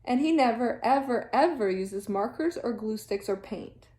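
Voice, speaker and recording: Female storybook reader, teacher type: higher-pitched, expressive, deliberate phrasing, echoey room. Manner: animated, deliberate phrasing with dramatic sound-effect words. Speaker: teacher reading to children. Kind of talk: expressive children's storybook read-aloud.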